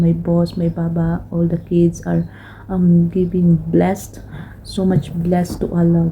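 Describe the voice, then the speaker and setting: A young Filipino woman talking casually through an unboxing, halting with many fillers and switching between Tagalog and English. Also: fairly low pitch, narrow, tinny audio, slight background noise. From Philippines. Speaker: vlogger.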